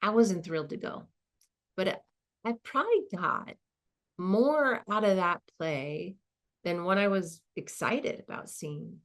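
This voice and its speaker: A woman playing a reflective, earnest professor in her sixties, in a halting, conversational stage monologue. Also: medium pitch. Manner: halting, thoughtful, colloquial. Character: reflective, earnest 64-year-old woman, professor nearing retirement. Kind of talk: dramatized first-person monologue, conversational.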